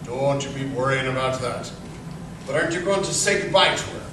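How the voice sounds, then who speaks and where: A man playing a scheming, falsely reassuring army sergeant in an old-time radio drama, speaking deliberately. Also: mid-range voice, close to an everyday voice. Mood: slyly reassuring. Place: live stage performance in a museum. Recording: very echoey hall, distant mic, noisy.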